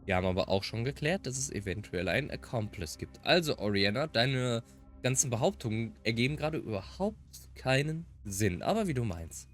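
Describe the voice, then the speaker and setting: A man's voice: medium pitch, very animated, brisk, faint music behind. A man who is a native German speaker, giving commentary as he plays through a visual novel. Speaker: streamer playing and reading out a visual novel. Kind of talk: game commentary and dramatic read-aloud.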